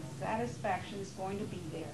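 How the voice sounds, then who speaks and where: A woman's voice: medium pitch, moderate pace, natural voice. Soothing patient wife played by a woman, very noisy. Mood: soothing, reassuring. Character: Helen, gentle reassuring wife, soothing and patient. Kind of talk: amateur stage play acting. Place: theater during a rehearsal. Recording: echoey, very noisy theater rehearsal tape.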